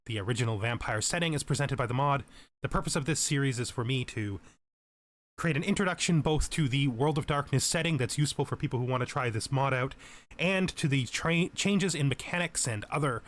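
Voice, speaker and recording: A man's voice: medium pitch, fairly bright tone. A man giving explanatory let's play commentary as a tutorial host, methodical and thorough in how he explains things. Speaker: game tutorial host, player.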